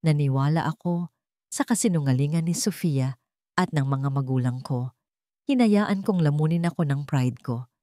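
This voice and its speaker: A woman's voice: low voice, normal speed, warm tone, no room sound, lightly acted. Casting: female narrator as anguished young male CEO, pleading and remorseful, Tagalog radio drama, dry close recording. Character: remorseful wealthy young CEO father, begging forgiveness.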